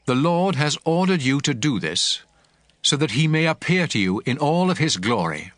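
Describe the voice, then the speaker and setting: A man's voice: a little high, very animated, normal speed, a bit bright, dry recording. An actor playing a commanding male prophet, solemn and declamatory, instructing his priests in a dramatized scripture reading. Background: music.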